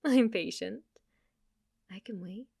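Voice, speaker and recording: A woman's voice: medium pitch, takes it slowly, creaky, a little mellow, breathy edge, full character voice. A woman playing a playful, possessive, lovestruck witch in an ASMR roleplay, speaking to the listener in a teasing, cutesy way. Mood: smug, teasing. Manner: teasing, cutesy, swinging between coy murmurs and eager outbursts.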